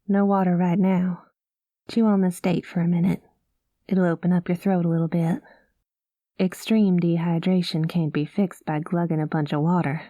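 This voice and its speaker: A woman's voice: on the low side, fairly even intonation, normal speed, no echo, lightly acted. Woman playing a folksy space cowgirl pilot. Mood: easygoing. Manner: folksy drawling cowboy talk, calls listener partner; gentle and soothing. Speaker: ASMR roleplay performer. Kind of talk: ASMR roleplay.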